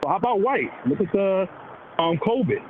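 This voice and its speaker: A man's voice: high-pitched, very animated, normal speed. A man of Dominican background talking casually and animatedly about politics, in slang, often putting on voices for the people he quotes. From Dominican heritage. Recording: tinny phone-like line with noise.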